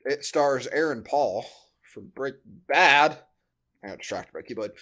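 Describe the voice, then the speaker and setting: A man's voice: mid-range voice, animated, a little slow, fairly bright tone. A man hosting a podcast, chatting casually as he reviews a movie. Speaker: podcast host.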